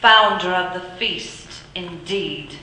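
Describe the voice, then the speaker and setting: An actress playing a bustling, sharp-tongued mother in a radio drama. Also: lowish voice. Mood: scornful. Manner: brisk, scornful when roused. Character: Mrs Cratchit, sharp-tongued loving mother. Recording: roomy echoey recording.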